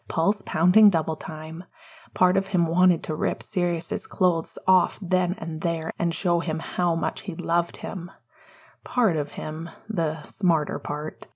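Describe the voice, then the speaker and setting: A woman reading romantic fiction as an amateur narrator, in a soft, intimate, breathy way. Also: fairly low pitch. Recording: faint music behind voice. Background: soft music.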